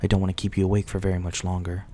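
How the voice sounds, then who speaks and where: Casting: male actor as a gentle, courteous vampire host for ASMR roleplay, low and mellow, soft old-fashioned politeness. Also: monotone, racing, slight background noise, natural voice. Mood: reassuring. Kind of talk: intimate ASMR roleplay acting.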